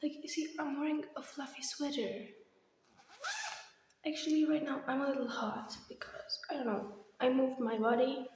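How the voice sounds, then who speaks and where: A young woman vlogger, slightly hoarse, chatting casually and hesitantly in a rambling personal update recorded in an echoey room. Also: high voice, fairly even intonation, moderate pace, noisy background. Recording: very echoey, reverberant empty room.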